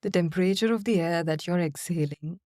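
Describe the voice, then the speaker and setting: A woman guiding a body-scan and breathing meditation in slow, calm, soothing instructions with long pauses. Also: fairly low pitch, no room sound, studio quality. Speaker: meditation guide. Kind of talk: guided meditation.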